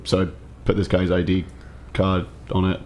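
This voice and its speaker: A man's voice: low voice, completely flat delivery, moderate pace, a little mellow, slight background noise. A man playing a tabletop roleplaying game in a casual, laid-back, slangy manner.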